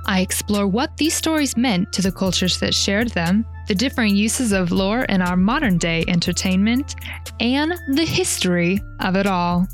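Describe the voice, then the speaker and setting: A woman's voice: medium pitch, lively intonation, normal speed, faint music behind. A woman reading a scripted, theatrical podcast intro. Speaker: show intro announcer.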